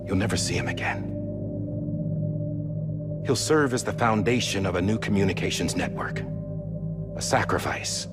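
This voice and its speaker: An actor playing a loyal, guilt-ridden soldier in a game drama, halting and earnest, often dropping toward a whisper. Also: male voice, lowish voice, close to an everyday voice. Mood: grave, hushed. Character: loyal, guilt-ridden soldier torn between oath and former commander. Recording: loud music behind the voice. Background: cinematic score.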